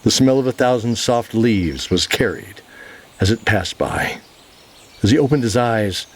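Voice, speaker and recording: A man's voice: fairly low pitch, breathy edge. A man working as narrator, reading scripted fantasy narration. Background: music.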